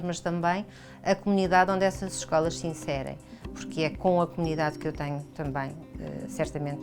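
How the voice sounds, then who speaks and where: A woman with a European Portuguese accent answers in an interview, in a measured, hesitant way. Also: deep voice. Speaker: language institute coordinator being interviewed. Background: background music.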